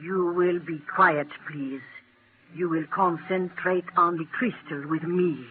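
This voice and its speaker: Slow, grave old fortune teller woman. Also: on the low side, fairly even intonation, noisy background. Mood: mysterious, solemn. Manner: slow, halting, solemn pronouncements. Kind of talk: 1940s radio acting. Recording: muffled, narrow-band vintage 1940s radio recording.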